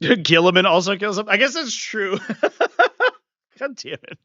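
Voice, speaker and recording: Male streamer, sniffly, chuckling and lively in pitch, up-close dry sound. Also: unhurried, no echo. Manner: animated, chuckling, filler-heavy. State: sniffly with a runny nose. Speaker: live stream host. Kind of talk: casual live strategy breakdown. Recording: slightly muffled, dry sound.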